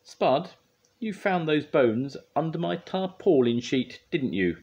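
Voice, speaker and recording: A man's voice: deep voice, animated, measured pace, a little mellow, slightly rough, muffled recording, exaggerated voice. A man voicing a friendly, fatherly builder who can be firm, in a children's storybook reading. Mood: stern.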